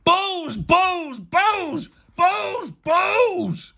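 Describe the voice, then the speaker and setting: A woman's voice: mid-range voice, lively intonation, moderate pace, gravelly, breathy edge, pitched above the performer's own voice. A woman voicing a manic, thundering male schoolteacher in a storybook, spluttering and repeating in exasperation. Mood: outraged. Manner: bellowing, repetitive, spluttering.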